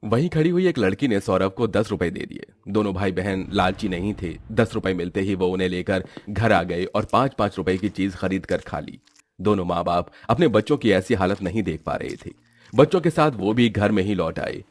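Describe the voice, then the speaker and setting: A man's voice: deep voice, expressive, normal speed. A man narrating a scripted cartoon story in storybook fashion.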